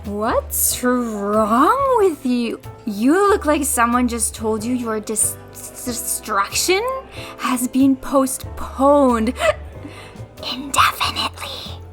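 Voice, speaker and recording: An actress playing a brash, tipsy barfly in an audio drama, with languid, drawn-out delivery. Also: female voice, a little high, animated, a bit bright, faint music behind. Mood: tipsy, breezy.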